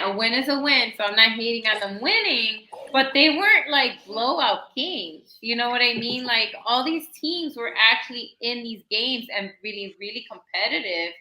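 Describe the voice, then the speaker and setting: A woman's voice: fairly high pitch, animated, normal speed, bright, forceful tone. A woman panelist giving football opinions in casual chat, talking in long runs full of "like" and "you know what I mean". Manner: talkative, filler-heavy, lots of "like" and "you know". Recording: echoey room.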